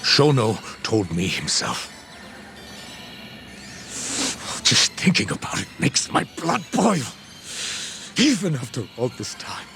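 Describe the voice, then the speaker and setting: An actor playing an anxious, indignant doctor in a video game, halting and emotionally volatile. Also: male voice, medium pitch, huge pitch swings, gravelly, fairly bright tone, soft background music, full character voice. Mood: grim. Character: anxious, indignant male doctor and research chief. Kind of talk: dramatic video game acting.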